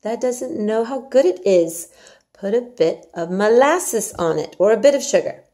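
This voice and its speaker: Storybook housemaid, voiced by a woman reader: young, hearty, blunt, high and animated. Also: a little slow. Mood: indignant. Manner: blunt, emphatic, exclaiming. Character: hearty, outspoken young Yorkshire housemaid with motherly scolding. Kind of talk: storybook dialogue read aloud.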